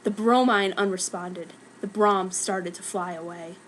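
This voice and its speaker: A woman's voice: mid-range voice, fairly even intonation, a little slow. A woman giving a dramatic, mock-serious reading of a scripted story as narrator. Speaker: reader of a fan story.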